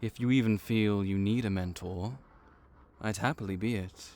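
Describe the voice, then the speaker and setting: A man's voice: lowish voice, normal speed, a little mellow, close to an everyday voice. A man voicing a laid-back vampire clerk and mentor in an audio roleplay, dry and wry as he speaks to the listener. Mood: easygoing.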